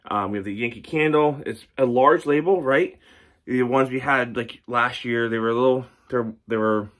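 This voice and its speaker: A man's voice: mid-range voice, normal speed, a bit bright. A man in his thirties, a candle reviewer, chatting casually to camera at home, rambling with many ums and restarts. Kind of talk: casual product review vlog. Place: home room with record shelves.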